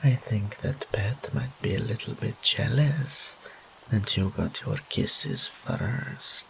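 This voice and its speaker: Man playing a slow, gravelly, seductive incubus demon. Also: fairly low pitch, slight background noise, heavily acted, rough recording. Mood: doting. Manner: theatrical drawl, purring, breathy whispered asides. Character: teasing, seductive male incubus demon, smug and doting. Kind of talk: roleplay audio acting.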